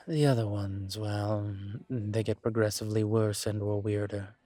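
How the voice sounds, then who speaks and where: Man playing a slow, wry, low-voiced incubus. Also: dark tone, dry recording, natural voice. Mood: serious, measured. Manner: measured, deliberate, wry, conversational. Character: composed, wry male incubus demon investigator, politely self-assured. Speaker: audio roleplay performer. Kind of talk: scripted one-sided roleplay audio drama.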